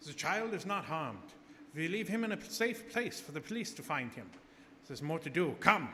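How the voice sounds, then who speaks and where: A grave professor and vampire hunter in a gothic radio play. His fairly high voice presses each point with theatrical insistence. It rings in a long hall reverb with some noise. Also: very animated, moderate pace, pushed and bright. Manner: theatrical, probing rhetorical questions. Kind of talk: gothic radio drama acting. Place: live theater stage.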